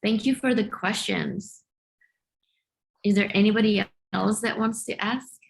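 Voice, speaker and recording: A woman's voice: mid-range voice, steady tone, measured pace, gravelly. A middle-aged woman hosting a panel on a video call, giving students direct, encouraging informal advice. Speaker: panel host.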